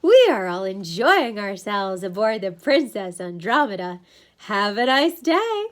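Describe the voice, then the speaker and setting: A woman's voice: mid-range voice, big pitch swings, unhurried. A woman voicing blank, entranced cruise passengers and crew in a stilted, glassy recitation. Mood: glazed cheer.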